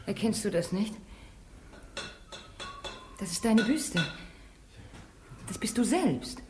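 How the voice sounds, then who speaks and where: An actress playing an insistent, tender, possessive wife in a 1950s German radio drama. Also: female voice, mid-range voice, lively intonation, slow. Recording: echoey, noisy old radio recording.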